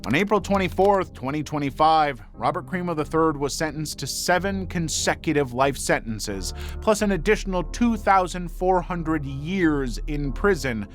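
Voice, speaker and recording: Male true crime narrator: slightly high register, animated melody, measured dramatic phrasing over a music bed. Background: background music.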